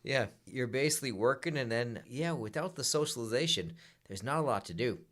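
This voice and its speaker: A middle-aged man co-hosting a financial advice show, answering in quick, offhand replies. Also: medium pitch, animated, a bit bright. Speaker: financial advisor and co-host. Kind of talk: casual chat.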